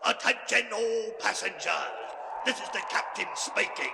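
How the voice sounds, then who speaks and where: A man's voice: high-pitched, normal speed, vocal fry, pushed and bright, a little hiss or hum, heavily acted. A man playing a hearty, blustery ship's captain full of nautical talk in a comic children's radio drama. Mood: official, projected. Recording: 1980s LP record, long reverb, music behind.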